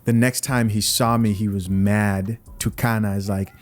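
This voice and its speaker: A man's voice: lowish voice, flat delivery, moderate pace, soft background music. A young man from Zambia hosting a podcast, giving blunt, opinionated commentary straight to his viewers. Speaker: podcast host reacting to clips.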